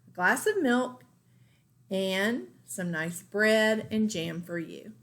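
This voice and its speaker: A woman voicing a kindly, unruffled mother in a storybook, gently coaxing. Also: mid-range voice, animated, slow pace. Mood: cheerful offering.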